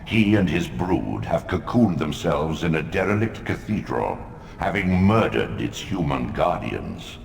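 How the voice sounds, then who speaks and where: An actor playing an ancient commanding deity who speaks slowly and portentously, echoing over game music. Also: male voice, big pitch swings, vocal fry, background noise, exaggerated voice. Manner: slow, booming and portentous.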